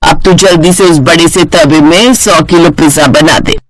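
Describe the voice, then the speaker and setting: A woman's voice: low voice, steady tone, normal speed, dry recording, low-quality recording. A woman voicing a bossy middle-aged mother-in-law in a cartoon, commanding and matter-of-fact. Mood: bossy, pleased.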